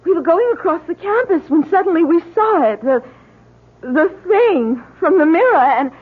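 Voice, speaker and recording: An actress playing an earnest, emotional young American college student in a 1970s radio drama. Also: female voice, very high voice, lively intonation, moderate pace, narrow, tinny audio. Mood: distraught. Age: young adult. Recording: thin, band-limited 1970s radio recording.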